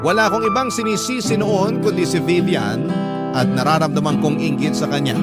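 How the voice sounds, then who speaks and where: A Filipino man with a Filipino accent, reading a listener's story in the first person as a dramatic radio storyteller. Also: fairly high pitch. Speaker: storyteller and host of a radio love-story program. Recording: loud music bed under the voice. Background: loud background music.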